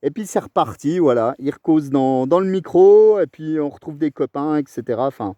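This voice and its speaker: A chatty Frenchman with a slightly high, mellow voice. He rambles along enthusiastically in long, casual turns as a radio operator. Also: lively intonation, a little fast, dry recording, studio quality. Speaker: amateur radio operator recording his contact.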